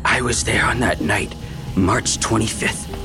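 A man's voice: lowish voice, measured pace, close to an everyday voice. Actor as scared young Californian man, music underneath. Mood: scared, urgent. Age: 20s. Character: scared, menacing slight young man with a knife. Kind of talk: audio drama acting.

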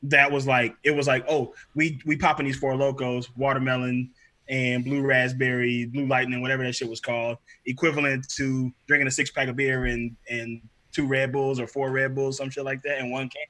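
A man's voice: medium pitch, level tone, moderate pace, bright, forceful tone, low-quality recording. A man in his thirties from Los Angeles, telling stories in a laid-back casual chat and laughing often. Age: 30s.

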